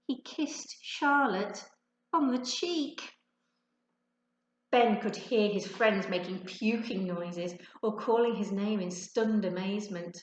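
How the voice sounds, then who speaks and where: A woman giving an expressive children's storybook narration, like a teacher reading to her class. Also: mid-range voice. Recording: echoey untreated room.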